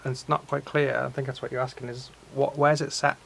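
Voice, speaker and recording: A man's voice: mid-range voice, level tone, brisk, vocal fry, fairly bright tone, husky. A man speaking as a teacher, giving informal critique and probing questions in a conversational way. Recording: faint room noise.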